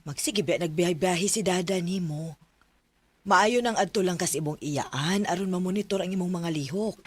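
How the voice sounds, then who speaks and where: A woman's voice: on the low side, moderate pace, close to an everyday voice. A woman playing a practical, reassuring mother in a radio drama, with a Filipino accent. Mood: serious, reassuring.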